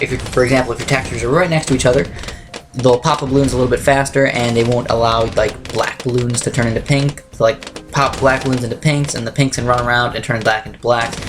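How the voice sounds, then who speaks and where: A young man with a slightly raspy voice, talking quickly through game strategy as a let's play commentator. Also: medium pitch, background noise. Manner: fast, chatty, self-correcting strategy talk. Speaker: gameplay commentator.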